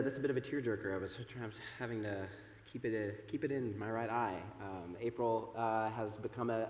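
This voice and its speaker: A man in his thirties preaches to a congregation from the pulpit, his loud voice carried by a microphone. He talks in a chatty way, pausing on fillers. The sanctuary gives his voice a long, roomy echo. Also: medium pitch. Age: 30s. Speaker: pastor. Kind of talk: sermon. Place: church sanctuary. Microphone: microphone over a PA system. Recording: echoey church hall with long reverb.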